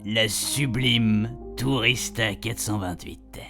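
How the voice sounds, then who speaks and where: A man's voice: on the low side, slow pace. Man acting chatty cheeky old grandpa, music behind. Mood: teasing, fond. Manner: rambling, colloquial, repeatedly checking his puns landed. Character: chatty retired grandpa, cheeky, self-amused, homespun storyteller. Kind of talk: radio fiction monologue.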